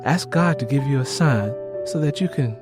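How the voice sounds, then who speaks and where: A man's voice: mid-range voice, normal speed, natural voice. Man voicing an aging devout Southern grandmother over music. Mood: probing, concerned. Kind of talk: audiobook character dialogue. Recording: rain and music behind the voice.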